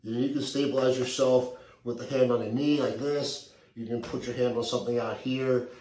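A middle-aged man, a fitness coach, casually talking through a home workout in a relaxed, easygoing way, recorded by a camera a few meters away in a living room. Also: mid-range voice, normal speed, reverberant, low-quality recording.

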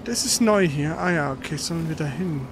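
A man's voice: a little high, lively intonation, a little fast, noisy background. A man doing casual live gameplay commentary with a co-player, exclaiming, grumbling and swearing as he goes. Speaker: gaming streamer playing and commenting.

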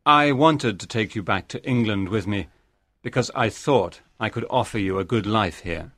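An actor playing a reserved, honourable Victorian gentleman, restrained, formal and sincere, in an audiobook. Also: fairly low pitch, normal speed, no room sound, clean studio sound. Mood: sad.

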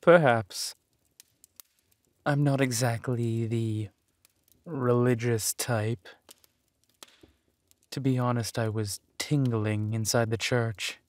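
Guy doing a dark villain husband for roleplay audio, takes his time, soothing but menacing, super dry close sound. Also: mid-range voice, fairly even intonation, dry recording, lightly acted. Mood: quietly protective. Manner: slow, soothing, darkly theatrical. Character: possessive, menacing villain husband, tender to spouse. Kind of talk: scripted roleplay audio drama.

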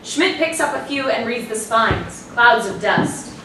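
A woman's voice: a little high, lively intonation, measured pace. Very echoey woman reading stage directions. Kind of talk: scripted narration at a staged reading. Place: small indoor performance room with audience. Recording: very echoey room, distant mic, noisy.

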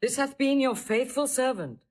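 A woman playing a regal, smooth-tongued scheming queen in a classical Shakespearean audio drama, with a stately theatrical manner. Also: high-pitched, expressive, moderate pace, a little mellow. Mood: smoothly imperious.

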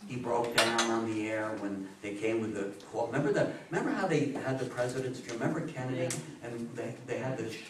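A man's voice: medium pitch, fast talker, hardly any pauses, background noise. A man in his seventies giving an informal talk to a room, telling anecdotes animatedly and repeating phrases for emphasis. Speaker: speaker giving a history talk. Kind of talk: informal lecture with anecdotes and audience questions. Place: meeting room before a seated audience. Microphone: far camera. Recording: roomy echo, distant camera microphone.

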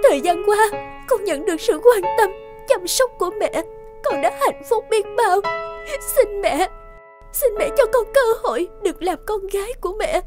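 An actress playing a gentle, earnest young woman in an animated drama, with music playing underneath. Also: very high voice, normal speed. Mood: tearful, pleading. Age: young adult. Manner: earnest, emotional. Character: gentle, wistful young woman longing for a mother. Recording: loud guitar music underneath.

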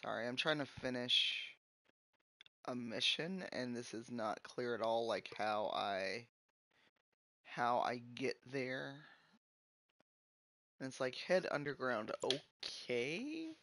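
A man streaming a video game, chatting casually and slowly, sometimes mildly frustrated with the game. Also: mid-range voice, expressive, bright, forceful tone, dry recording, low-quality recording. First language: English. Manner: slow, musing, mildly frustrated. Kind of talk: casual gameplay commentary.

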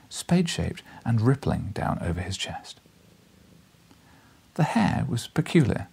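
Husky man reading a classic story aloud. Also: lowish voice, a little mellow. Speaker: audiobook reader. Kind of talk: dramatic storybook narration. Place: small living room, indoors, seated in armchair.

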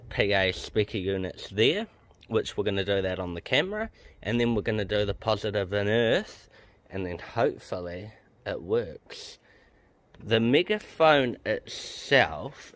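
Male vlogger, low voice, offhand hands-on DIY commentary, unhurried delivery, noisy outdoor camera audio. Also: bright, forceful tone. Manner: offhand, narrates each step as he works. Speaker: host of a car modification vlog. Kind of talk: casual DIY commentary while working. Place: outdoors beside a car's open bonnet.

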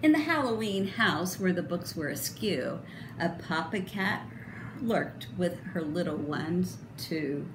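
Middle-aged female storybook reader, sing-song and very animated, unhurried. Roomy, noisy indoor recording. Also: lowish voice. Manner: theatrical, emphatic, sing-song delivery. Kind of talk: expressive children's read-aloud. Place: indoor room. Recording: roomy echo, clear background noise.

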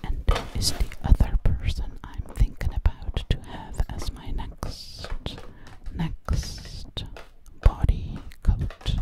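A sassy, vain witch, acted by a woman in an ASMR roleplay, whispering breathily right up close. She takes her time, very slowly repeating words with a sinister edge. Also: lightly acted. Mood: sly, musing. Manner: slow, lingering, repeats words over and over. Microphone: close microphone.

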